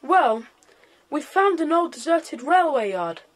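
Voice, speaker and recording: High-voiced, sensible British boy. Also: big pitch swings, measured pace. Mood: polite, firm. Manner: polite, self-assured. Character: polite, sensible boy, leader of young friends. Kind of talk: children's audio drama acting.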